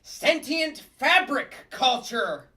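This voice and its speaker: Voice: high-pitched, very animated, drawn-out words, pushed and bright, recorded from a distance, full character voice. A performer at a comedy table read playing a blunt, wisecracking, cynical puppet district attorney. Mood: indignant.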